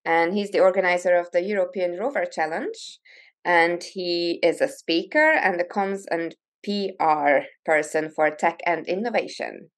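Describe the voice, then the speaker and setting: A woman's voice: fairly low pitch, unhurried. Young woman hosting a video call interview. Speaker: host.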